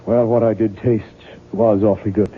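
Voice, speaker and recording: An actor playing a troubled, evasive American writer husband in a radio drama, hesitant and subdued. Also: male voice, lowish voice, flat delivery, normal speed, breathy edge. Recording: muffled, noisy 1970s radio broadcast.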